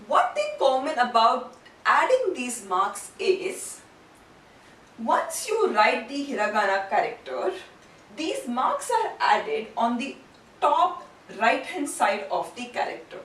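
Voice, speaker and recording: High, lively woman teaching in a roomy space. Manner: deliberate, repeats syllables and terms for learners. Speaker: Japanese language teacher. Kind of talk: instructional lesson, step-by-step explanation. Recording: roomy, distant mic, faint background noise.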